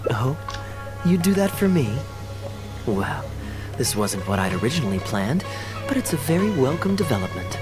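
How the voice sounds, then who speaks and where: A man's voice: mid-range voice, sing-song, moderate pace, close to an everyday voice. Man acting suave, lilting young knight, music behind. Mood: pleased, teasing. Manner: smooth, playful, theatrically eloquent. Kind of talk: polished video game character acting. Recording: game dialogue over background music.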